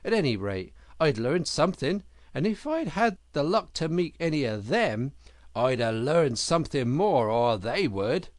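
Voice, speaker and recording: A man voicing a hearty, boastful otter in a storybook, chummy and brisk, with jolly, emphatic old-school slang. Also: on the high side, sing-song, no echo, studio quality. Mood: matter-of-fact.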